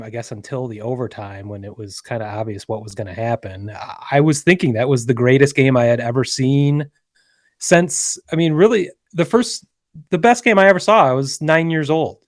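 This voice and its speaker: A man in his forties hosting a podcast, talking in an earnest, halting way with many restarts. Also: mid-range voice, dry recording, studio quality. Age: 40s. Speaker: podcast host. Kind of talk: casual podcast chat.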